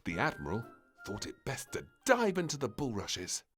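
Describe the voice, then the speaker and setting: A man narrating a children's story from a script, with expressive, deliberate phrasing. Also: medium pitch, over music.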